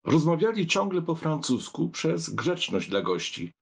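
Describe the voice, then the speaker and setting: Male narrator, gravelly, animated intonation, literary audiobook reading. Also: a little high, a little slow. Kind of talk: expressive scripted literary narration.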